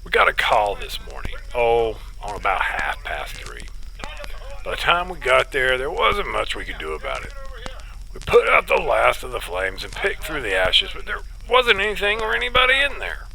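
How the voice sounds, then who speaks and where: An actor playing a plain-spoken, folksy small-town fire chief with a gravelly voice, interviewed in a radio drama. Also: male voice, medium pitch, wildly swooping pitch, normal speed, pushed and bright, slight background noise, heavily acted, low-quality recording. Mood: weary, matter-of-fact. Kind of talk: acted radio news interview.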